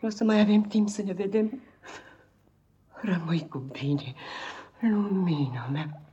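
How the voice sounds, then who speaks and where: A devoted nursemaid in a dull-sounding 1950s radio play recording. Her voice is dark and breathy, full of motherly tenderness and sorrow. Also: medium pitch. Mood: sad, loving. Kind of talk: 1950s radio drama acting.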